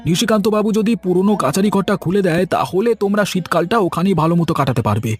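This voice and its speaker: A man's voice: sits high, expressive, fast, husky, soft background music. An actor voicing an earnest, worried village man in a cartoon, with an insistent delivery. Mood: urgent concern. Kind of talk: cartoon voice acting.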